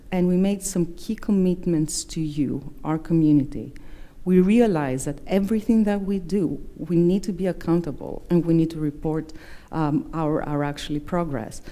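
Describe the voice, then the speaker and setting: A middle-aged woman from Greece, whose first language is Greek, giving a measured presentation to members with frequent fillers and self-corrections. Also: fairly low pitch, mellow. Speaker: executive director presenting annual report.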